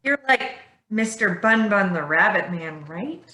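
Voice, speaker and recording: An actress playing a fearless young woman vigilante in a staged play reading, mocking and deliberate. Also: mid-range voice, expressive, bright, forceful tone. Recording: roomy, echoing sound.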